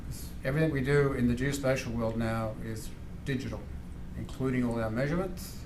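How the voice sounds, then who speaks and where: A man's voice: medium pitch, a little fast. A middle-aged man with an Australian accent giving an informal academic seminar talk as a visiting professor. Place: indoor university seminar room. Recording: echoey lecture room, clear background noise.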